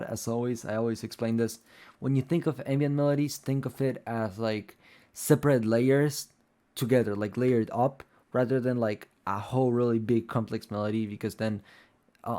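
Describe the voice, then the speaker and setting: A man's voice: medium pitch, fairly even intonation, normal speed, mellow. A young man whose first language is Spanish, talking casually and loosely through a tutorial as a music producer, with lots of fillers. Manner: rambling, loose, many fillers like "like" and "um".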